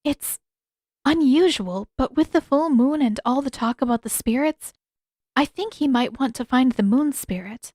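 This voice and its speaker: Woman voicing a gentle, higher-pitched young princess. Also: normal speed, no echo, studio quality. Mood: hesitant, thoughtful. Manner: careful, hesitant, polite phrasing. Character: gentle, thoughtful teenage princess, courteous and hesitant.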